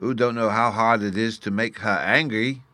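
A man reading a storybook aloud, voicing a small, mischievous and sulky boy fairy who lisps "oo" for "you" and talks in a petulant, lively way. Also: fairly low pitch, moderate pace, dry recording, voice pushed up. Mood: impatient. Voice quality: childish lisp, says "oo" for you.

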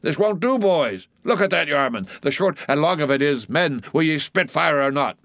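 A man's voice: mid-range voice, lively intonation, normal speed, a bit bright, dry recording, clean studio sound, voice pushed up, natural voice. A man voicing a jocular, blustering whaleboat mate in an audiobook, hectoring his crew with exclamations and rhetorical questions. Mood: blustering urging. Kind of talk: audiobook storybook character voice.

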